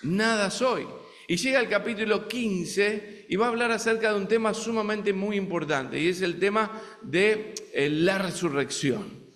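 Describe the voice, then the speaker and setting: High-voiced Argentine man preaching. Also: a little slow, fairly bright tone, long reverb tail. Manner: conversational, asks the congregation rhetorical questions. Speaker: guest preacher. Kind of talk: sermon. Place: church sanctuary in the United States.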